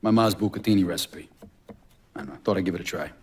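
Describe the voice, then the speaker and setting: A man's voice: deep voice, fast, a little mellow. A man playing a brooding, vengeful middle-aged mobster in a crime game, understated and colloquial. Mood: subdued. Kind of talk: dramatic video game acting. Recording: clear guitar music behind.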